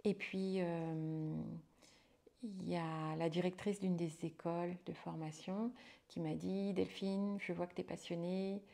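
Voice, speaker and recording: Guest, woman, somewhat low voice, halting and conversational. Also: steady tone, vocal fry, dark tone. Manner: hesitant, many fillers and restarts. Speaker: hypnotherapist and midwife, guest. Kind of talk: casual conversational interview.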